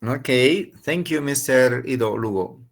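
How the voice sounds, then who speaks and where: Gravelly-voiced man running an online class. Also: mid-range voice, a little slow. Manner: switches between Spanish and English, gives instructions. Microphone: video call.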